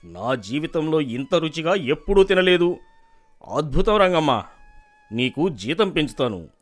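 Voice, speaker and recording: A man voicing an imperious village landlord in an animated moral story, bossy and exclamatory, effusive when pleased and quick to rage. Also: fairly high pitch. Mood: delighted.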